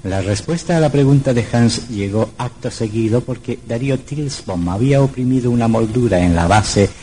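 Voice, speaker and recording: A man's voice: medium pitch, normal speed, warm tone, poor audio. A man narrating a scripted old radio horror drama in a dramatic, suspenseful manner.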